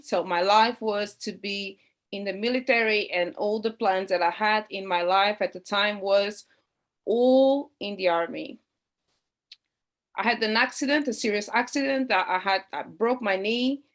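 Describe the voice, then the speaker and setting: A woman from Portugal with a Cape Verdean background, a high performance coach, introducing herself on a video call in a friendly, personal storytelling way. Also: a bit bright.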